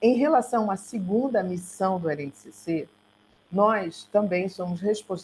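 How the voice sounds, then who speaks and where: A woman with a Brazilian Portuguese accent gives a slide presentation on an online call, speaking haltingly and correcting herself as she goes. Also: mid-range voice, a little mellow. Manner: halting, self-correcting delivery. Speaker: research centre coordinator giving a talk. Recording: noisy online call with hum.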